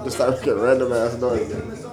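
A man's voice: medium pitch, normal speed, crowd noise. A man co-hosting a podcast, bantering in slangy casual chat. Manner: lively, laughing, slangy banter. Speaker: podcast co-host. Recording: music playing behind.

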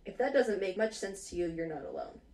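A woman's voice: mid-range voice, expressive, fairly quick, darkish tone, recorded from a distance. Giggly woman podcast host. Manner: giggly, swears casually. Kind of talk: casual chat, reading out stories.

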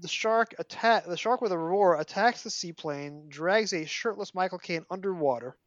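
A man's voice: sits high, normal speed, no room sound, highs cut off. A man with a scratchy voice and a Brooklyn accent, hosting a podcast chat and reading passages aloud from a book. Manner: animated storyteller, mock dramatic. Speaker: podcast host.

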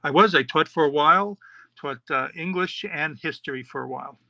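A man's voice: fairly high pitch, a little fast, vocal fry, pushed and bright, dry recording, highs cut off. A middle-aged man from New York, a podcast host and children's author, answering interview questions in a rambling, chatty way with many fillers and restarts.

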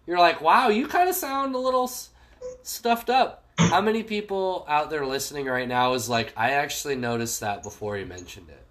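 A man's voice: a little high, moderate pace, fairly bright tone. A man co-hosting a podcast in casual chat, talking with a stuffy nose in a joking, sarcastic way. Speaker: podcast co-host. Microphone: phone call.

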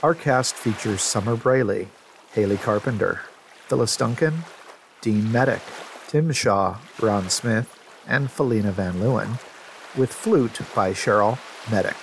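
A man's voice: lowish voice, measured pace, vocal fry, slight background noise. A man reading a scripted introduction as the announcer of an audio drama podcast.

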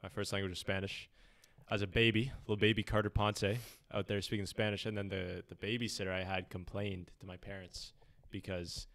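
A young man hosts a casual student podcast in an easygoing, teasing way. His voice sits a little low, and he trades stories freely with his guest. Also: little pitch movement, a little fast, fairly bright tone. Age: young adult. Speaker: podcast host. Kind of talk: casual chat.

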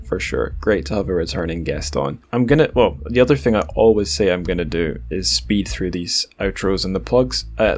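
Low voiced guy doing podcast host chat, wry and kinda rambly, pokes fun at himself. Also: darkish tone. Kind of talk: casual podcast chat and outro.

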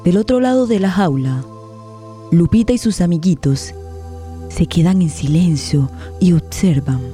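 A woman narrates a radio play from a script. Her tone is extremely mellow and dark, with faint new-age music behind. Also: fairly low pitch, animated, measured pace. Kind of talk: dramatic scripted radio theatre narration in Spanish.

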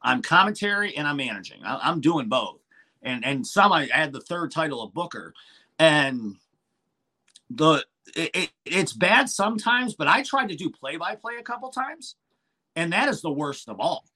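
Animated, bright-voiced man. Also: a little high, moderate pace. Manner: animated, frequent restarts and fillers. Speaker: wrestling manager and commentator. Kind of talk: casual podcast interview chat.

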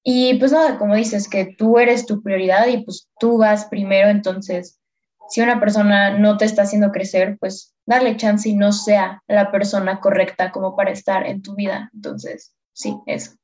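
Young woman podcast host, relaxed and chatty, slightly dull lo-fi sound. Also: medium pitch, moderate pace. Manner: friendly, rambling, laid-back. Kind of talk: casual chat.

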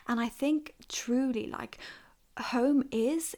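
A woman's voice: high-pitched, takes it slowly, warm tone. A woman raised in the UK co-hosting a casual podcast, enthusiastic and affirming with many fillers. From United Kingdom. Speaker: podcast co-host.

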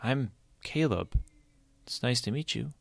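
A man's voice: medium pitch, animated, measured pace, vocal fry, no echo, studio quality, voice pushed up. A man voicing a cautious, polite young man exploring underground.

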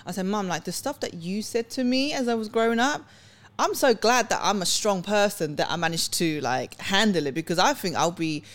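A woman's voice: mid-range voice, animated, moderate pace. A young woman from Sweden hosting a casual podcast chat, talking in a rambling way with many restarts. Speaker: podcast host.

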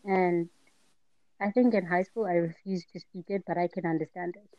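A woman's voice: lowish voice, steady tone, moderate pace, no echo. Young woman, sarcastic co-host; dull dead mic sound. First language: Afrikaans. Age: young adult. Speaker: podcast co-host. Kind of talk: casual chat. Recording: dull muffled dry mic.

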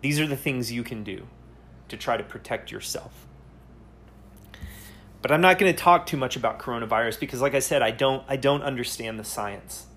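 A man hosting a solo podcast, speaking earnestly and haltingly, often pausing to search for words. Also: medium pitch, a bit bright, a little hiss or hum. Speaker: podcast host.

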